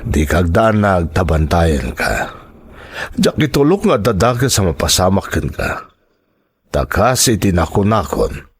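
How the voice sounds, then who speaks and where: A man's voice: low voice, big pitch swings, unhurried, slightly rough, exaggerated voice. A man with an Ilocano accent acting in a radio drama as a persuasive rebel leader who poses as a protector and hides a predatory side. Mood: coaxing, protective.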